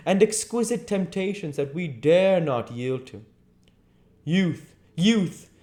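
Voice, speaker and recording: A man's voice: on the high side, darkish tone, voice pushed up, lightly acted. A man voicing an eloquent, cynical male aristocrat with an impassioned, grandiloquent delivery in a storybook reading. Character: Lord Henry, cynical eloquent aristocrat preaching youth and pleasure.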